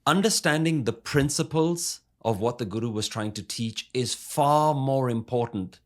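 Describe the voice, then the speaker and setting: A man's voice: medium pitch, a little slow. Earnest man giving a spiritual talk. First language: English. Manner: emphatic, asks rhetorical questions. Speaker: spiritual speaker.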